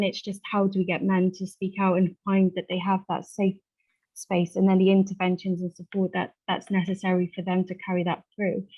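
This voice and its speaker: A woman speaking off the cuff as a support worker in a group discussion, earnest and halting as she pieces her sentences together. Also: medium pitch, dull audio. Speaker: support worker, survivor engagement officer. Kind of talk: unscripted contribution to a group discussion.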